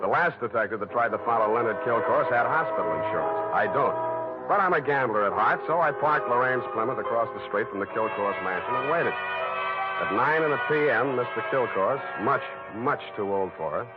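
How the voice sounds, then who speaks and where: An actor playing a wry, slangy hard-boiled private detective in 1940s radio style. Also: male voice, medium pitch, animated, normal speed, lightly acted. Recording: muffled old radio recording, music behind.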